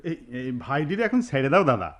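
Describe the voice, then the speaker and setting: A man's voice: fairly high pitch, sing-song, quick pace. An actor playing a practical, hospitable village man in a radio drama. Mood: brisk, friendly. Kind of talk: Bengali radio drama acting. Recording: faintly noisy radio drama recording.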